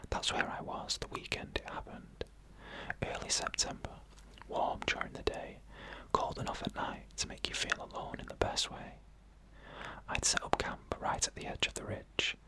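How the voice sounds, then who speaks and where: A narrator reading eerie first-person stories in a slow, deliberate ASMR whisper, pausing between short phrases. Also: bright, forceful tone, poor audio.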